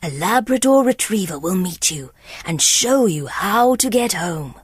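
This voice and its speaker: A woman voicing a helpful collie dog in a storybook, measured and reassuring. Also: mid-range voice, expressive, husky, dry recording, clean studio sound, pitched below the performer's own voice.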